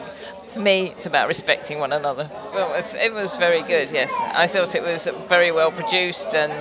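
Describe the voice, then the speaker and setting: An enthusiastic, approving man giving an unscripted audience reaction interview. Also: sits high. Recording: busy crowd behind voice.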